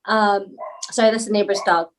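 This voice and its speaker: A woman's voice: medium pitch, fairly even intonation, moderate pace, background noise. A woman from Palau, a podcast guest, talking casually and laughing often as she tells her story. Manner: rambling and laughing easily. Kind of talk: casual interview chat.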